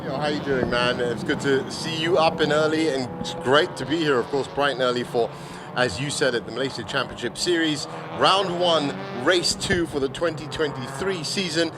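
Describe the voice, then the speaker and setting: A man with a slightly hoarse voice giving chatty, enthusiastic live motorsport commentary. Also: fairly high pitch, normal speed. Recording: loud engine and outdoor circuit noise. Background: cars and trackside ambience.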